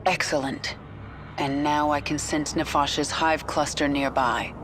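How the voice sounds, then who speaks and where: A woman's voice: low voice, steady tone, measured pace, noisy background. An actress playing a hard, bitter and determined queen in a video game, with a terse, commanding delivery. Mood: assured.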